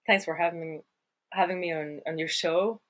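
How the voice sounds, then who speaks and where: A woman's voice: lowish voice, fairly even intonation, moderate pace. A woman from Sweden, speaking English as a guest on a podcast interview, thoughtful and hesitant with frequent fillers. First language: Swedish. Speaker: guest, water surveyor.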